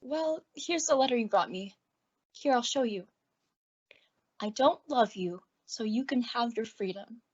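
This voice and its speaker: Demure hurt young wife, high breathy voice, ladylike, dull old-time radio. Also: lively intonation, unhurried. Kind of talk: 1950s radio comedy acting.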